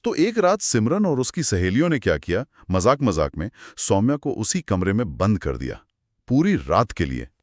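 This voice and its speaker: A man's voice: on the low side, huge pitch swings, normal speed, a little mellow, no echo, studio quality. A man hosting a conversational horror storytelling show, narrating in dramatic, short suspenseful phrases. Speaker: host narrating horror stories.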